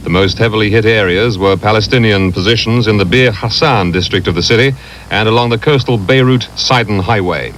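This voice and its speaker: Low-voiced male war correspondent. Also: fairly quick, slight background noise, poor audio. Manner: grave, steady reporting. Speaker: foreign correspondent. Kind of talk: scripted news report.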